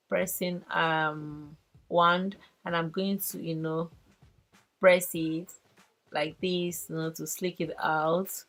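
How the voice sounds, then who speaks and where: A woman casually explaining a hair tutorial as she works, halting and full of "you know". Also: on the low side. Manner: halting, filler-heavy demonstrating. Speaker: hair vlogger, host.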